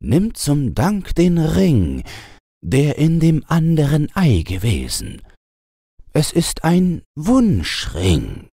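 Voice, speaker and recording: Solemn young eagle, pitched up. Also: male voice, huge pitch swings, drawn-out words, warm tone, slightly rough, dry recording, full character voice. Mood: solemn, grateful.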